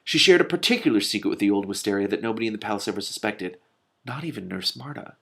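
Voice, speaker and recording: A man's voice: fairly low pitch, expressive, fast talker, creaky, pushed and bright. Man reading a storybook aloud expressively. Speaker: host reading a book aloud with commentary.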